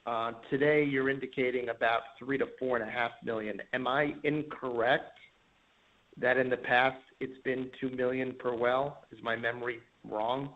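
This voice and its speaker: A man calling in as an analyst, putting probing questions in a halting way on an earnings call. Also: medium pitch, fairly even intonation. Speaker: caller, investment analyst. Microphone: telephone. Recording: tinny narrow phone line.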